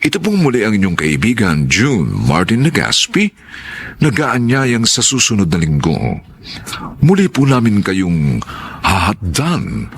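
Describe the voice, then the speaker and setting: A low, husky man hosts a radio drama. He delivers formal announcements slowly and declaims them, stretching the ends of phrases with dramatic flair. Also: huge pitch swings.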